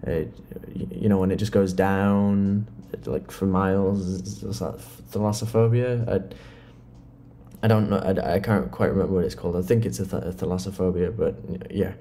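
A young British man acting an eager but nervous teenage job applicant. His voice is low and soft-edged, and he speaks hesitantly, stammering and restarting as in a naturalistic horror audio drama. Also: steady tone, normal speed, slight background noise. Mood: earnest, hesitant. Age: late teens. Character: nervous, eager nineteen-year-old male job applicant.

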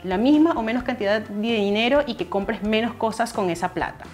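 Young female host, steady explainer to camera, lapel mic, faint music bed. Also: on the high side, fairly quick. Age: young adult. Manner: steady, explaining, addresses viewer directly. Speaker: finance channel host. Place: living room, seated on a couch indoors.

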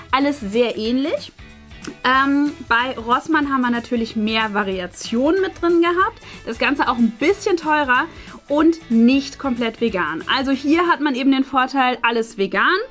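A woman in her thirties chatting casually to viewers and explaining things as she hosts an unboxing indoors. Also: high-pitched. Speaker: host of an unboxing channel. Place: small furnished indoor room. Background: faint background music.

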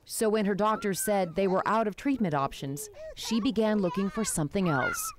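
A woman's voice: mid-range voice, huge pitch swings, moderate pace, background noise. A woman with an American accent narrating a scripted TV news report.

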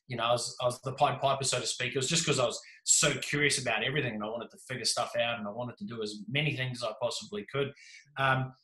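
Give me an animated, jokey New Zealand man in his thirties, bright-toned and echoey. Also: medium pitch, fast. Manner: animated, gestures a lot, jokey. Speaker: podcast guest. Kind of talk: casual storytelling. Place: small room indoors. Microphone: video call with desk mic. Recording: echoey room.

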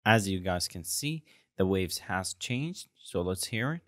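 Low-voiced young man presenting a tutorial. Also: expressive, unhurried, fairly bright tone, no room sound, studio quality. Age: 20s. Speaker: tutorial host. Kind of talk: software tutorial narration.

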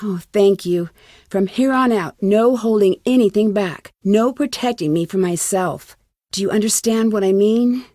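A woman's voice: mid-range voice, a little slow, mellow, dry recording, studio quality, natural voice. An actress playing an earnest, determined young female amateur detective in conversational video game dialogue. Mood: earnest, pleading. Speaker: lead character in a detective adventure game.

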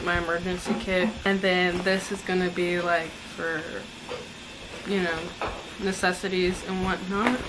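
A young woman talks easily through her purchases, pitch right in the middle of the usual range. She sits on a living-room couch in front of her camera. Steady background noise runs under the recording. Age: young adult. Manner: chatty, relaxed, lists items with asides. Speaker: vlogger. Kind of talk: casual haul vlog.

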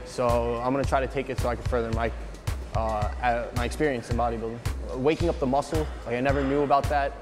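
A man's voice: medium pitch, fairly even intonation. A young man and bodybuilder talking casually in an interview, hesitant with many fillers. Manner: halting, fillers like um and like. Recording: music playing behind. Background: music.

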